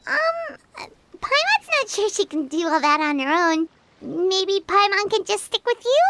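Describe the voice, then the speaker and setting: A woman voicing a tiny, excitable, childlike fairy companion in a game, with a squeaky voice. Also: measured pace, noisy background, heavily acted. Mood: nervous. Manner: refers to self in third person, exclaims eagerly. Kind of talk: game voice acting. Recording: loud music behind the voice.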